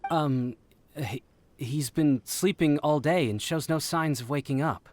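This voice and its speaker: A man playing an anxious townsman in a video game, halting and uncertain. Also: fairly high pitch, darkish tone, slight background noise, natural voice. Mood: uneasy.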